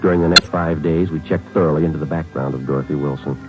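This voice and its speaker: A man playing a calm, methodical American police sergeant in a radio drama, speaking in a clipped, understated way. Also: rumbling low, fast. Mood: matter-of-fact. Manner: clipped, matter-of-fact, understated. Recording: muffled old radio recording with music.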